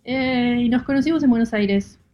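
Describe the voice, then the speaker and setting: A woman's voice: fairly high pitch, moderate pace, dark tone, soft background music. A middle-aged woman from Rosario with an Argentine accent, chatting casually as a guest over a computer video call. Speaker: guest caller, interior designer. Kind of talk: casual chat. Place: mezzanine workspace indoors.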